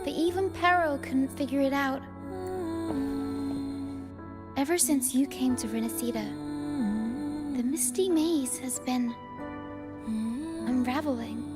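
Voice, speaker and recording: An actress playing a gentle, earnest young stage performer in a video game, speaking in a measured, sincere way. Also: female voice, high-pitched. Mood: earnest, hopeful. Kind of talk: game voice acting. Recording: music behind the voice. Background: music.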